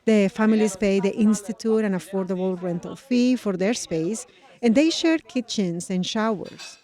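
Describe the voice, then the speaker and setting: Mellow-voiced woman radio reporter. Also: medium pitch. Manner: measured, clear storytelling. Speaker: news reporter. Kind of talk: scripted radio narration.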